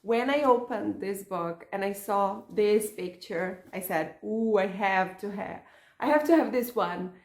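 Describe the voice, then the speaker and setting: A Portuguese-accented woman whose first language is Portuguese talks slowly and casually about her knitting. She often stops and restarts words while reaching for the English. Her voice has a roomy echo around it. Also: mid-range voice, vocal fry, darkish tone. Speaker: knitting podcaster and yarn shop owner. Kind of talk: casual craft vlog chat.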